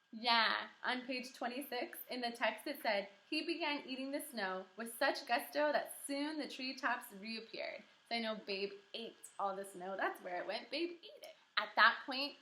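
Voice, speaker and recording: A woman teaching kindergarten through a read-aloud lesson, encouraging and animated, asking questions and pausing for answers. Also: on the high side. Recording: roomy echo.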